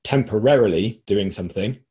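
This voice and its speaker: Husky-voiced man losing his voice. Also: on the low side, brisk, mellow. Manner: explanatory, uses analogies. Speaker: podcast co-host. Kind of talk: casual podcast discussion.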